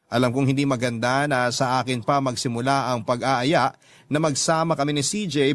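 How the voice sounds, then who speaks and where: A man reading first-person scripted radio drama narration, earnest and confiding, with hesitant pauses. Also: medium pitch, no echo, close to an everyday voice.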